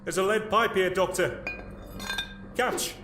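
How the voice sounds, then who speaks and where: Man acting a harried, high-voiced survivor. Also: takes it slowly, noisy background. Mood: brusque. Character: excitable, harried father fighting to survive. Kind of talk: full-cast audio drama acting. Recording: echoey room with music behind.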